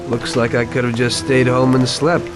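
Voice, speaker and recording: A man's voice: mid-range voice, flat delivery, moderate pace, music in the background, close to an everyday voice. A man playing a moody, skeptical younger gangster in a video game, answering tersely and guardedly. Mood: irritated. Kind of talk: video game cutscene acting.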